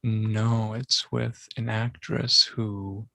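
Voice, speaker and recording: A man co-hosting a casual podcast, tossing out references and puns in a deadpan way. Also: on the low side, very slow, vocal fry, no echo, studio quality. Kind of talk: casual talk, trading music and film references.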